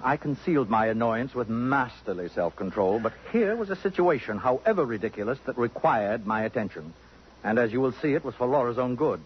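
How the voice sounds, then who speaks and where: A man's voice: medium pitch, animated, a little fast, a little hiss or hum, natural voice. An actor playing a suave, possessive, sardonic society man in a 1950s radio drama, with arch and precise pompous diction. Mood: wry, measured. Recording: muffled vintage radio broadcast.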